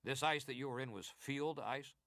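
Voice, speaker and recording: A man's voice: medium pitch, fairly bright tone, no echo, clean studio sound, lightly acted. Formal, probing senator played by a man. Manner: formal, deliberate, inquisitorial. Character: formal, probing American senator questioning a witness. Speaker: actor reading hearing testimony. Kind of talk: dramatized reading of historical hearing.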